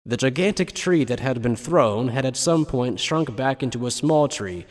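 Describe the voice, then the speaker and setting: A man's voice: mid-range voice, animated, normal speed. A man reading scripted dramatic narration for an anime fan dub.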